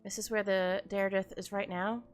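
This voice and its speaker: A woman's voice: mid-range voice, little pitch movement, a little fast, faint music behind. A woman streaming a video game, chatting playfully and reacting aloud as she plays. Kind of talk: casual gameplay commentary.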